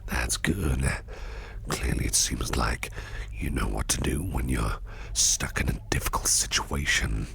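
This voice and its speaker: A man playing a sinister, flirtatious businessman boss in an ASMR roleplay, speaking close to the mic in a gravelly, breathy near-whisper with a teasing, menacing purr. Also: a bass voice, unhurried, background noise, full character voice. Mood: probing, sly.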